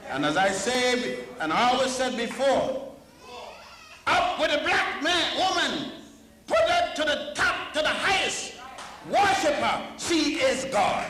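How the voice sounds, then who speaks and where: Older man, fervent, very high lively voice, slow, echoey hall, loud crowd. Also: very noisy. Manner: fervent, exclaiming, high-pitched rising delivery. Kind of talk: impassioned public speech.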